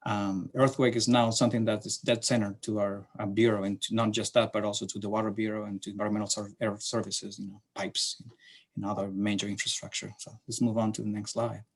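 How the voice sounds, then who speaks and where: Middle-aged chilean guy w/ accent giving a class talk, kinda low voice, lots of uhs and restarts. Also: little pitch movement. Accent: Chilean Spanish. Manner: halting, many fillers and restarts. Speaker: city transportation planner presenting to a class. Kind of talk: presentation with slides.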